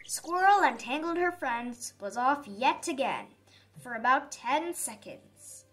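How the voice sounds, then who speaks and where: A woman reading a children's story aloud in an expressive, dramatic manner for young listeners. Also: sits high, takes it slowly. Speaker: storybook reader. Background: background music.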